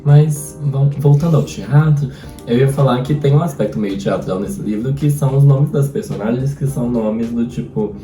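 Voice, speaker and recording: Mellow Brazilian man in a roomy space. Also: mid-range voice, normal speed. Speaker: host of a book discussion. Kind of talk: casual chat about books. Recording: roomy echo, distant mic, faint music.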